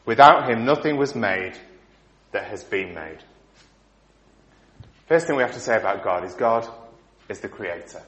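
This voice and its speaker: Preacher with a failing voice, echoey hall. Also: male voice, fairly low pitch, animated, unhurried, long pauses. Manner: rhetorical questions, emphatic rises and soft endings. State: voice giving out. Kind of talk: sermon.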